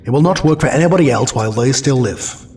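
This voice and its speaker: A man playing an ancient, solemn alien sage in an audio drama, speaking in a measured, deliberate way. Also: medium pitch, darkish tone, a little hiss or hum, natural voice. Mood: pondering, grave.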